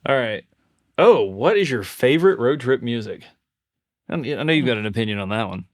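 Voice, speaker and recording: A man's voice: mid-range voice, expressive, a little fast. A man co-hosting a podcast, chatting casually in a conversational, unscripted way. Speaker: podcast co-host. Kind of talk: casual chat.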